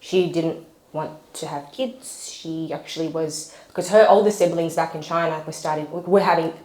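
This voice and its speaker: A woman's voice: sits low, moderate pace, darkish tone. Chatty Australian woman in echoey kitchen. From Sydney, Australia. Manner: chatty, laughing, self-deprecating. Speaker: podcast guest. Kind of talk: casual chat. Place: home kitchen. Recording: roomy kitchen echo.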